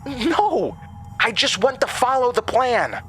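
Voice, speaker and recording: A man plays a desperate young woman in a horror radio play. The voice is high, tense and strained, halting and gasping as she pleads. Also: big pitch swings, bright, forceful tone, full character voice. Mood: urgent pleading. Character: frightened, pleading young female camp accomplice, desperate.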